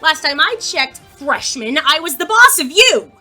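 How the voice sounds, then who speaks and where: A woman's voice: high voice, big pitch swings, measured pace, over loud music. A woman playing a brash, gossipy, bossy teenage girl anchoring a school newscast in an audio drama. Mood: indignant, bossy. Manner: peppy broadcast delivery breaking into bossy outbursts.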